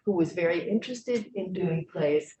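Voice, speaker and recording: Woman academic moderator, lowish voice, explanatory and thoughtful, roomy echo. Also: expressive, normal speed, mellow. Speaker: professor and moderator. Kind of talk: panel conversation.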